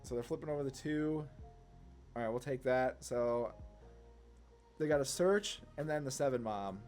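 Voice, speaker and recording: A man's voice: mid-range voice, moderate pace, a little mellow. Casual man thinking aloud, music behind. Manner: informal, rambling, thinking through moves aloud. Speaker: gaming content creator, player.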